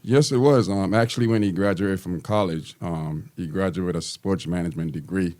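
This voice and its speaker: A man in his fifties from Guyana, a teacher and basketball coach, answering interview questions in a friendly, halting way with many ums. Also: low voice, a little mellow.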